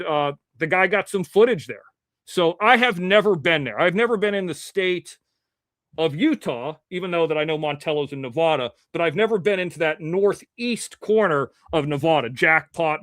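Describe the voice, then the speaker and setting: A man from Ohio hosts a live show with a high voice, rambling through the chat and swearing casually. His diction stays clean and crisp. The sound is dry and close, with no room around it. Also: fairly bright tone, no room sound.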